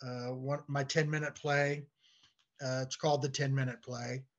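An older man chatting as an interview guest, halting and repeating words as he searches for his phrasing. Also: mid-range voice, little pitch movement. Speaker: guest, playwright and comedy writer. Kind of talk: casual interview chat. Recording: dull, lo-fi voice track.

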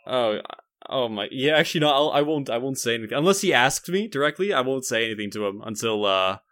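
Man, podcast co-host, very lively pitch swings, playful and giggly, dry close sound. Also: fast, no room sound. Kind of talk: casual comedic podcast banter.

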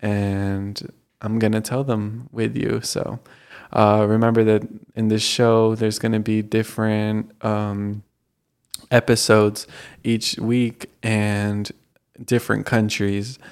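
A man's voice: fairly low pitch, flat delivery, slow pace, darkish tone, smooth. A young man hosting a casual solo podcast, rambling with lots of fillers. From United States, Colombian family. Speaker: podcast host.

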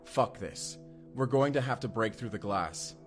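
A man's voice: on the low side, measured pace, over loud music, lightly acted. A man playing an urgent, determined time traveler in an audio roleplay drama, earnest and intense. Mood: frustrated. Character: urgent, determined male time traveler, protective of his partner.

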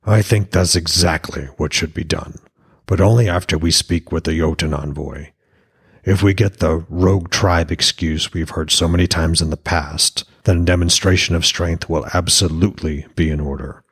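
A man's voice: low voice, fairly even intonation, normal speed, a bit bright, slightly rough, heavily acted. A man voicing a stern, commanding Norse god-king and father in a fantasy audiobook. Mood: resolute.

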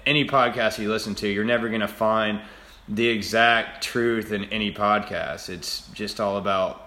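A man's voice: lowish voice, vocal fry, pushed and bright. A young man hosting a podcast in an informal, easygoing, loosely phrased chat. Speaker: podcast host. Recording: echoey room.

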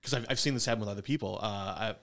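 A man from Wisconsin hosting a podcast, talking things through in a measured, thoughtful way and repeating words as he goes. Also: lowish voice, fairly bright tone, dry recording. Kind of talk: casual podcast chat.

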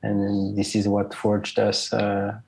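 Hesitant low gravelly young man. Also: completely flat delivery, slow pace, darkish tone, slight background noise, rough recording. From Switzerland. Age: 20s. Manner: hesitant, many fillers and repeated words. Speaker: interview guest, school alumnus. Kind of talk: casual interview answers.

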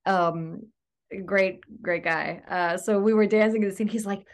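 A woman from Greece, an actor, chatting in a casual podcast interview, upbeat and quick to laugh. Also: mid-range voice, fairly even intonation. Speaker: guest actor.

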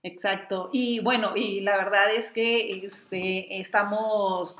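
A woman's voice: mid-range voice, fairly even intonation, takes it slowly, fairly bright tone, roomy sound, a little hiss or hum. A woman from Mexicali with a Mexican Spanish accent, co-hosting a podcast in casual, teasing chat with frequent laughter. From Mexico (Mexicali, Baja California). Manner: chatty, laughing often, playful teasing. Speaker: podcast co-host.

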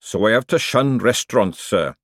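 A man's voice: fairly low pitch, animated, moderate pace, fairly bright tone, dry recording, studio quality. A man voicing a nervous, servile male chef in an audiobook, hesitant and deferential. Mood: resigned. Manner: hesitant, servile, repeatedly saying "sir". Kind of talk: audiobook character dialogue.

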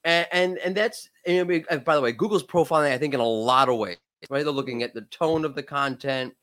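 A man's voice: on the high side, fairly bright tone. A man in his late thirties with a cold and a cracking voice, talking emphatically as an expert guest in a casual discussion. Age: 30s. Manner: emphatic, quick, frequent self-restarts. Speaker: podcast guest, SEO expert.

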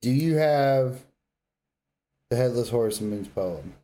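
A man chats as a podcast co-host in a slangy, laid-back way. His voice is soft-edged and mellow, at an average pitch. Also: animated, takes it slowly. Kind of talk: casual, slangy banter.

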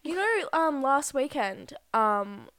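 A woman's voice: sits high, very animated, slow pace, a bit bright, no room sound, studio quality. A young adult Australian woman chatting casually and telling stories as a podcast co-host, informal and peppered with filler "like". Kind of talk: casual chat, storytelling.

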